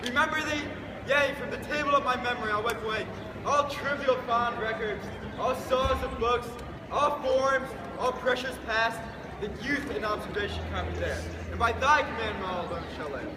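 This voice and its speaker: A man's voice: very high voice, moderate pace. A young man performing an anguished prince vowing revenge in a projected Shakespearean stage monologue. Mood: anguished resolve. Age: teenager. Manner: declamatory, projected stage delivery. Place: outdoor school courtyard, from a distance. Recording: noisy echoey courtyard from far off.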